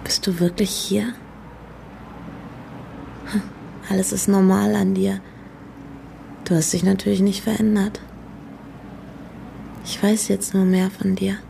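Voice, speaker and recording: An actress playing a candid young doctoral student, speaking in a hushed, breathy, tentative way in an intimate radio drama. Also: female voice, medium pitch, flat delivery, slow pace, long pauses, a little hiss or hum. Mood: tender, hushed.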